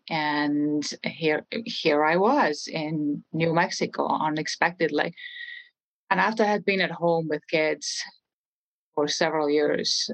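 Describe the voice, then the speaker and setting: A woman's voice: low-pitched, highs cut off. A woman in her forties from Estonia with an Estonian accent, a podcast guest who answers earnestly and thoughtfully, often restarting her sentences. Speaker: guest, real estate trainer. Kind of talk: podcast interview conversation.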